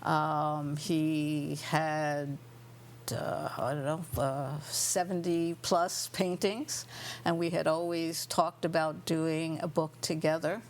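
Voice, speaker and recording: A woman talking as an interview guest, thoughtful and unhurried, with many pauses and fillers. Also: low-pitched, little pitch movement, a little hiss or hum. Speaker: guest artist and writer.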